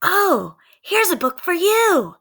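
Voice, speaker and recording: A woman's voice: very high-pitched, big pitch swings, slow pace, creaky, fairly bright tone, pitched above the performer's own voice, exaggerated voice. A woman voicing an eager, bold seven-year-old girl who exclaims and calls out in a children's storybook read-aloud. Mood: excited, eager.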